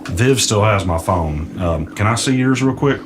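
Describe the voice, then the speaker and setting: A man's voice: on the low side, lively intonation, normal speed, music in the background, natural voice. A man playing an easygoing, understated recording studio guy in an audio drama. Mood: casual, curious. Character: laid-back young man running a recording studio, puzzled investigator. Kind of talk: naturalistic audio drama acting with first-person narration.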